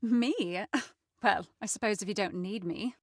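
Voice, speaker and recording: A woman's voice: mid-range voice, measured pace, no echo, studio quality. A woman playing a refined, haughty young lady of a mansion in a game, speaking in a prim, wry, articulate way.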